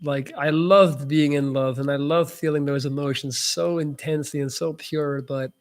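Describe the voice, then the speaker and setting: A man's voice: fairly high pitch, steady tone, normal speed. A young man with Dutch as his first language, hosting a casual live Q&A and reading viewer messages aloud with loose, restarting answers. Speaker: livestream host answering viewer questions.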